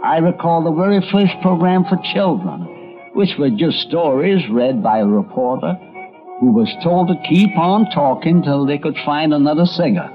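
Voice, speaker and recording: An older man, a radio critic, reminiscing as documentary narrator with animated storytelling. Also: fairly high pitch, moderate pace, faint music behind. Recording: dull archival radio documentary recording.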